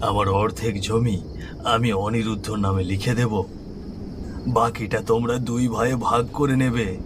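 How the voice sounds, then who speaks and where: A man's voice: on the low side, little pitch movement, measured pace, noisy background, lightly acted. A man voicing a worried elderly father in a horror cartoon, speaking earnestly and emphatically. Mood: firm, earnest. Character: worried elderly father of three grown sons.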